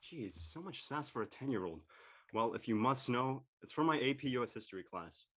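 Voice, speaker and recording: A man's voice: medium pitch, lively intonation, brisk, a bit bright. A man playing an exasperated, snarky teenage son in an audio drama.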